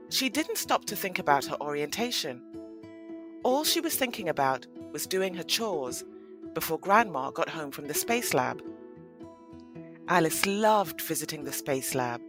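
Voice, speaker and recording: A woman narrating a scripted audio story as an expressive storyteller. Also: fairly low pitch.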